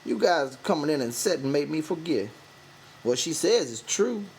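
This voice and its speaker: A man's voice: fairly high pitch, very animated, normal speed, darkish tone, slight background noise, voice pushed up, poor audio. A man voicing a withdrawn, proud, bitter stable hand in an audiobook, with defensive, rural vernacular speech. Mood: dull, resigned. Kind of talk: audiobook character voice.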